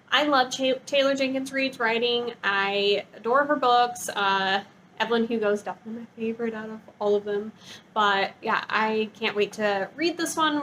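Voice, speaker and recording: A woman's voice: on the high side, a bit bright, a little hiss or hum, low-quality recording. A woman talking in a casual, chatty vlog style, with an enthusiastic, gushing and informal manner. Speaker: book review vlogger.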